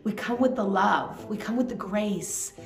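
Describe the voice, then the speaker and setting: A woman's voice: mid-range voice, measured pace, roomy sound, soft background music. A Canadian woman in her forties, presenting her family and her vision earnestly as a guest. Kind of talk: personal presentation over a home video.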